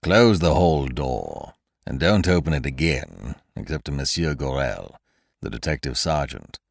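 A man voicing a wealthy, nervous gentleman in a storybook reading, curt and commanding, with an agitated edge. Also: rumbling low, big pitch swings, unhurried, vocal fry, no room sound, studio quality, voice pushed down, full character voice.

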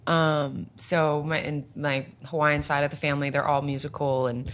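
A woman's voice: low voice, flat delivery, normal speed. A young woman, a singer, chatting casually and cheerfully, often laughing between her phrases. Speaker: singer guest. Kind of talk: casual conversation.

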